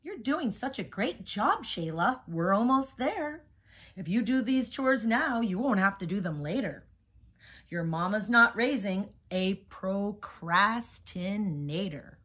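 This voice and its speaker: A woman's voice: mid-range voice, expressive, slow, fairly bright tone, husky, exaggerated voice. A woman voicing a firm but encouraging storybook mother who gives orders and coaxes. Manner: giving orders, coaxing. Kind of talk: picture-book character reading.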